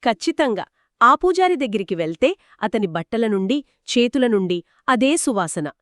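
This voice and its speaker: A woman's voice: a little high, very animated, moderate pace, no echo, studio quality. A woman telling a moral story conversationally to a co-host, explaining each step expressively and affirming as she goes.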